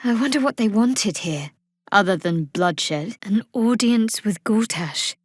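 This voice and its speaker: An actress playing a guarded, sardonic young cleric of a dark goddess in a fantasy game, with a dry, wry delivery. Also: female voice, medium pitch, animated, a little slow, husky, no room sound. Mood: composed, solemn.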